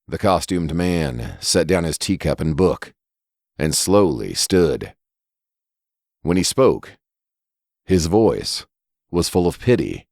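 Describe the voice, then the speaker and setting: A man's voice: deep voice, animated, slow, pauses often, darkish tone, no echo, clean studio sound. A man reading a scripted horror story as its narrator.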